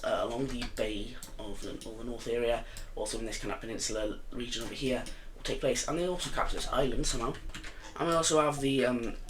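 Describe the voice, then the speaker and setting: A man with a croaky voice giving casual, rambling commentary over a map simulation, full of fillers and self-corrections. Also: mid-range voice, a little hiss or hum, poor audio. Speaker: video host.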